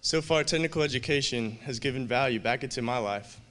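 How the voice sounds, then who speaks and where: A man in his thirties, a student award finalist, reading a measured, heartfelt prepared speech at a podium on the stage of a large event hall. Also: mid-range voice, a bit bright. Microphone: podium microphone. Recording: echoey hall reverb.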